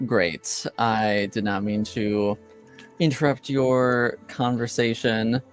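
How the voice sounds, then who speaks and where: A man voicing a reserved, formal knight lieutenant with a measured, stiffly polite manner in improvised tabletop roleplay. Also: mid-range voice, level tone, soft background music, lightly acted. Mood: guarded, polite.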